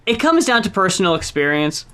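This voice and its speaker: A woman's voice: medium pitch, sing-song, a little fast, fairly bright tone. A woman co-hosting a podcast, chatting casually, blunt, swearing freely and laughing often. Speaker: podcast co-host. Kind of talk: casual chat about music.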